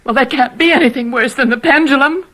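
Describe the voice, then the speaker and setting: High-pitched, fervent captive wife. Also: female voice, fast, a bit bright. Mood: anxious, loyal. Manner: breathless, fervent, emotional. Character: distraught, devoted wife held captive. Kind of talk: old-time radio drama acting.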